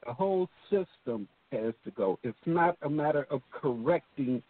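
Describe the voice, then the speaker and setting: A man's voice: on the high side, lively intonation, normal speed. A man co-hosting a casual talk show, speaking bluntly and emphatically with a lot of profanity. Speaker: co-host. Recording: tinny phone line.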